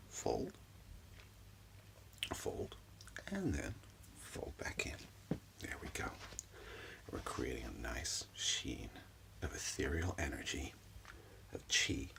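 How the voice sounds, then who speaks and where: A man doing soft-spoken ASMR as an energy healer, murmuring soothingly and repetitively in a breathy, gravelly voice, close up in a small room at home. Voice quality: whispery, breathy, low and gravelly. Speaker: ASMR performer giving a reiki session.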